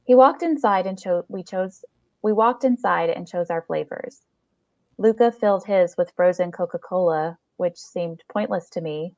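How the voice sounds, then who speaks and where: Low-voiced woman reading a story, dull sound. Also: normal speed, a little mellow, no room sound. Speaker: short story reader. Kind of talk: scripted first-person story narration read aloud. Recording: dull, dry lo-fi recording.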